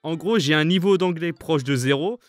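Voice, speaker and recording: A man's voice: a little high, normal speed, no room sound, soft background music. A man who voices a part in a fan dub, speaking plainly and a little sheepishly. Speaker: fandub voice actor. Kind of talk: casual recorded answer.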